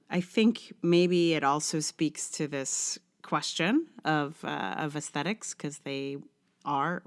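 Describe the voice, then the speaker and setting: A middle-aged woman talks alone as a podcast host. Her voice sits low for a woman, with a clean, resonant tone. She comes across as wry and reflective, often turning questions back on herself. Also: clear, resonant tone. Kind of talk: scripted essay reading, then casual rambling solo podcast talk.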